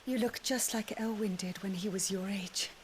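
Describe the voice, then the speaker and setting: An actress playing a kindly, nostalgic, middle-aged noblewoman in a game, gracious and gentle. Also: mid-range voice, fairly even intonation, normal speed, a little hiss or hum, lightly acted. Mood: gracious, wistful. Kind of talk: video game cutscene acting.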